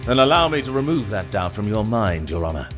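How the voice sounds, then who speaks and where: Game role: haughty male prosecutor , acted by a man, polished and highly animated, soft music behind. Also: a little fast. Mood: smug, assured. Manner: formal, haughty, theatrical. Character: smug, polished male prosecutor, easily flustered. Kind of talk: video game fan voice acting.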